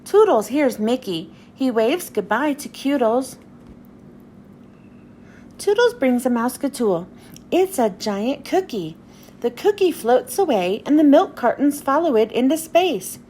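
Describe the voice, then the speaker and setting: A woman's voice: high voice, very animated, unhurried, mellow. A woman reading a children's storybook aloud as narrator, expressively, as if to young children. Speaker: children's book reader. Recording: faint background noise.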